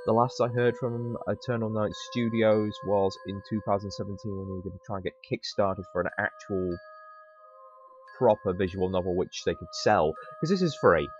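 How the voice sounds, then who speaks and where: A man's voice: fairly low pitch, normal speed, lo-fi sound, soft background music. A man hosting a game playthrough, commenting casually with wry, conversational asides. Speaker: host of a gaming channel.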